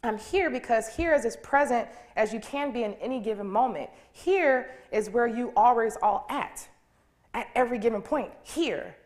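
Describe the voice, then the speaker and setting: A woman's voice: a little high, normal speed, a little mellow. A woman speaking as a preacher in an informal, reflective, conversational style, with emphatic phrasing. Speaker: guest speaker giving a sermon. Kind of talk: conversational inspirational sermon.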